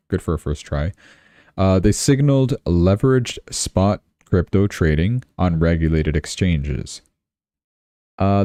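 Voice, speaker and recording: Casting: male livestream news host, very low mellow voice, offhand and wry, close dry sound. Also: no room sound. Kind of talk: casual live commentary on crypto charts and news.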